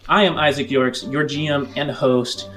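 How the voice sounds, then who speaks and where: A man's voice: medium pitch, steady tone, moderate pace, a bit bright, soft background music. A man hosting a tabletop role-playing show as its game master.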